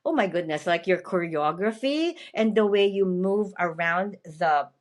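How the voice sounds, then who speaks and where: A woman's voice: on the low side, animated, measured pace. A woman from the Philippines, hosting a podcast interview in a chatty, upbeat way. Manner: chatty and upbeat, often asks "you know what I mean". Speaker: podcast host and motivational speaker. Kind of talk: casual interview chat.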